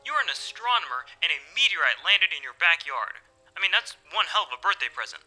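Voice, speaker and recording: A man playing an excited, pushy astronomer friend over a speakerphone in an audio drama. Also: on the high side, fairly quick, soft background music, heavily acted, poor audio. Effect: speakerphone call. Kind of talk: modern audio drama acting.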